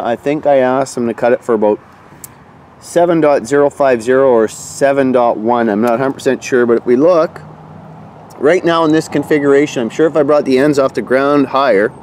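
A man operating a ham radio outdoors, talking in a relaxed, technical way as he thinks aloud. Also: mid-range voice. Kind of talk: casual narration while operating. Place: outdoors on a wooden deck. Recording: loud outdoor noise behind. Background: rain and radio sounds.

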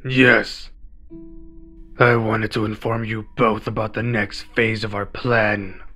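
Male actor as cold villain mastermind for roleplay audio; measured, commanding, hard-edged delivery over music. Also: on the low side, level tone, bright, forceful tone, full character voice. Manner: imperious, slow and deliberate. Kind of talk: roleplay ASMR villain acting. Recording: music behind the voice.

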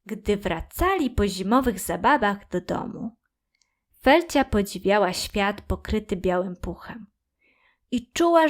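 A woman narrating a children's story in a calm, gentle, careful way. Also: a little high.

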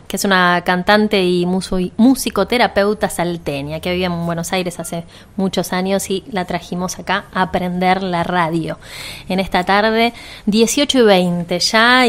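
A woman's voice: mid-range voice, fairly even intonation, normal speed. A woman with an Argentine accent co-hosting a radio magazine show, moving between announcements and talk. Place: radio studio.

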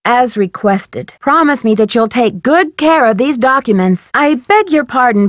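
A woman's voice: fairly high pitch, dry recording, studio quality. A woman voicing a polite, inquisitive young female detective in a video game, articulate and questioning. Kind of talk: scripted video game dialogue.

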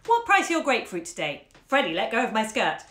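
A woman's voice: medium pitch, big pitch swings, moderate pace, a bit bright. A woman voicing a busy, exasperated mother who scolds sharply and impatiently. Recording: roomy echo.

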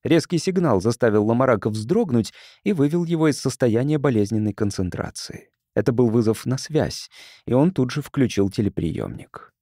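A man narrates a science-fiction audiobook in a soft, mellow voice. His pitch is medium. The recording is dry, with the voice close to the microphone. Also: expressive, moderate pace, no room sound.

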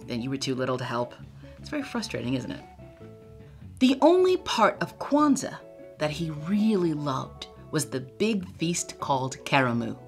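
A woman's voice: low-pitched, big pitch swings, moderate pace, soft background music. An older woman reading a children's story aloud expressively, with asides to young listeners, indoors on a webcam. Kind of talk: children's read-aloud narration. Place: indoor room with bookshelves.

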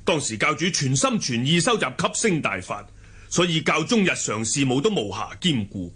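An actor playing a loyal, blunt martial-arts lieutenant in a Hong Kong Cantonese radio drama, recounting things emphatically. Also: male voice, fairly high pitch, very animated, moderate pace, fairly bright tone, slightly rough, full character voice. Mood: earnest, bitter.

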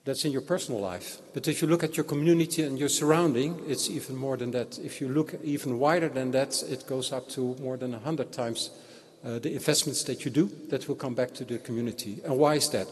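A man giving a ceremonial speech and introducing a guest speaker, measured and hesitant, with frequent fillers. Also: mid-range voice. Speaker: institute representative introducing speaker. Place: large old church. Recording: long church reverb.

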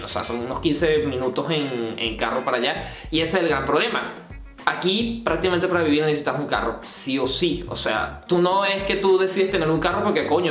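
A man's voice: a little high, a bit bright, reverberant. A young man in his twenties with a Caracas Venezuelan accent, vlogging casually to camera in his bedroom, rambling and restarting his sentences. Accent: Venezuelan Spanish, Caracas. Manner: rambling, restarts often, gestures while talking. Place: bedroom indoors.

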